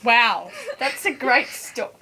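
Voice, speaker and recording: A woman's voice: fairly high pitch, expressive, slow pace, bright, forceful tone. A young woman chatting casually, making sarcastic, exclamatory comments on a story. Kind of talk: casual joking chat. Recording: noisy home recording with clicks.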